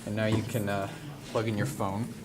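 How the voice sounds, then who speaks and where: Low-voiced man, halting tutorial, noisy recording. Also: flat delivery. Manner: informal, halting, thinking aloud while working. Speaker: instructor demonstrating audio equipment. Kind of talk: casual hands-on tutorial. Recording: clearly noisy room recording with handling sounds.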